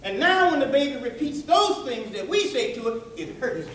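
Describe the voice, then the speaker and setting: A man preaching an animated, folksy sermon to listeners, tossing out rhetorical questions and colloquial asides. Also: extremely high pitch, moderate pace, slight background noise. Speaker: preacher giving a public religious address. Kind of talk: animated sermon with call-and-response to a live audience. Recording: echoey hall, distant microphone.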